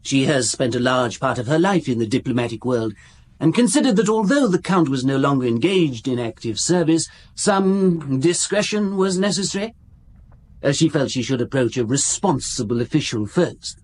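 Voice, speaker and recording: An actor playing a fussy, officious ministry official in a radio drama, formal, hesitant and pedantic. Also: male voice, medium pitch, lively intonation, normal speed, darkish tone, natural voice. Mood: cautious, officious.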